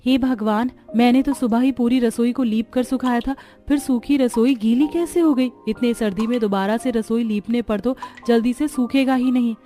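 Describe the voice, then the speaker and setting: Cartoon role: complaining, worried housewife, plaintive delivery, soft dark timbre, light music behind, played by a woman. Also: fairly high pitch, steady tone, moderate pace, hardly any pauses, natural voice. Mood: dismayed. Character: worried, complaining elder daughter-in-law in poor household. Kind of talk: cartoon story dialogue.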